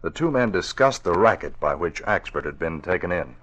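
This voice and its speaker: A man narrating a 1940s radio adventure serial as a formal, deliberate storyteller. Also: deep voice, sing-song, fairly bright tone, lo-fi sound, full character voice. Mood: dramatic, formal.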